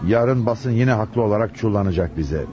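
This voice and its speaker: A male actor playing a resolute, compassionate chief inspector in a radio play, speaking with measured authority. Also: fairly low pitch, expressive, dark tone, lightly acted. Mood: grave, businesslike. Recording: muffled, noisy lo-fi radio drama recording.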